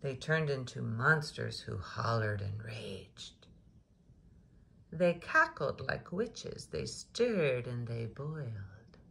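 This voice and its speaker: Female picture-book reader: slow, creaky low voice, rhythmic, chatty asides to kids. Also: big pitch swings, sharp tone. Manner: rhythmic rhyming delivery, engaging listeners with questions. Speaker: storyteller reading to young children.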